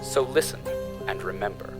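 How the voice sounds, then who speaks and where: An actor playing a solemn announcer for a dystopian corporation in a radio drama opening. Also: male voice, lowish voice, moderate pace, over music, close to an everyday voice, poor audio. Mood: solemn, proclaiming.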